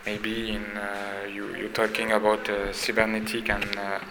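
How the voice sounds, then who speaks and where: A man interviews in a low, flat voice, pausing often as he searches for words. Loud ambient noise fills the background behind him. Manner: hesitant, searching for words, many fillers. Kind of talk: exhibition interview.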